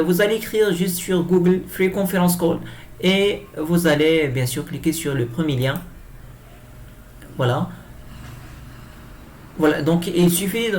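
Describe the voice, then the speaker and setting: A man giving an improvised software tutorial, speaking hesitantly and often repeating himself as he explains each step. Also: a little high. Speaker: tutorial presenter. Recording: clear background noise.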